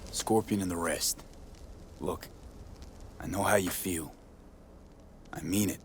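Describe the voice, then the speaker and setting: A low, steady mercenary. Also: male voice, slow, slight background noise. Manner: calm, dry, plain-spoken. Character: steady male mercenary, dry and loyal. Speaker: game protagonist. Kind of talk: game cutscene voice acting.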